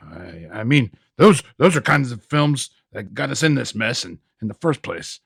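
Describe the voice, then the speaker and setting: A man playing an anxious film studio boss in a comedic amateur radio play, stammering and hesitant, with a raspy voice. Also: medium pitch, big pitch swings, normal speed, dry recording, exaggerated voice. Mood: hesitant, uneasy. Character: flustered, anxious male film studio boss under pressure.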